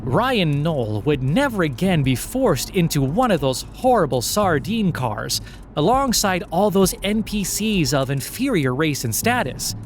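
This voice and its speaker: A man's voice: on the high side, very animated, measured pace, a little hiss or hum. A man narrating scripted satirical fiction in a sardonic, mock-earnest storytelling manner.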